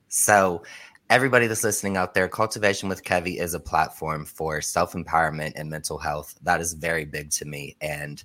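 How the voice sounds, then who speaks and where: A man in his thirties hosts a casual podcast interview. His voice sits low, and he keeps things friendly and upbeat, laughing easily as he asks his questions. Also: fairly even intonation, moderate pace, bright, forceful tone. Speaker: podcast host.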